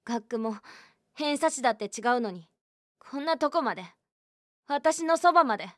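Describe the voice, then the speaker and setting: A woman playing a stubborn, lonely high school girl who hides her weakness, speaking in a quiet, confessional voice in a voice drama. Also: animated, moderate pace, no room sound, studio quality. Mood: anguished. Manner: quiet, confessional, rising to anguished outbursts. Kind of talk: voice drama acting.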